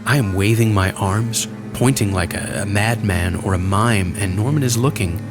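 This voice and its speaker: A man giving a dramatic first-person horror narration, intense and deliberate, in halting short phrases. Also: fairly low pitch. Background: music.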